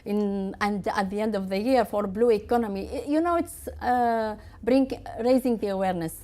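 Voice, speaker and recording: A woman from Tunisia answering interview questions in quick, halting full of restarts and fillers. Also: mid-range voice, warm tone. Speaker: guest.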